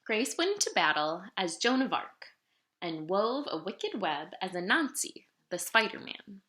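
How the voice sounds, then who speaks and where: A woman reads a picture book aloud with lots of expression. Her pitch moves freely and her tone is bright and clear-cut. The room adds a noticeable echo around her storytelling. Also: unhurried. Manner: animated, dramatic storytelling delivery. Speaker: storybook reader. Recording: roomy, echoing room sound.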